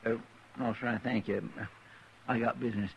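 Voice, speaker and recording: An actor playing a loyal, plain-spoken frontier deputy with a folksy drawl and country grammar, in a vintage radio drama. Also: male voice, mid-range voice, steady tone, normal speed, creaky, lightly acted. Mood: earnest folksy. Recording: dull, hissy old radio broadcast.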